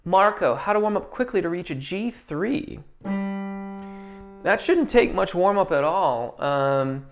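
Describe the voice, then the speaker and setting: A man who is a vocal coach, answering viewers' questions in a relaxed, chatty live stream with lots of ums and restarts. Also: sits high, slow, faint music behind.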